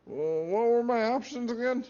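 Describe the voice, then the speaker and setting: A man voicing a panicky young male wizard student, high and strained. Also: moderate pace, warm tone. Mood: panicked. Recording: dull lo-fi recording.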